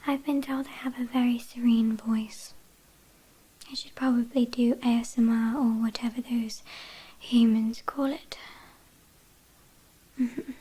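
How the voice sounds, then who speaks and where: A woman's voice: a little high, little pitch movement, dark tone, slightly rough, poor audio. A woman with a British accent playing a teasing, haughty vampire kidnapper in an ASMR roleplay, whispering breathily. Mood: teasing, smug. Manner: teasing, mock-apologetic, unhurried.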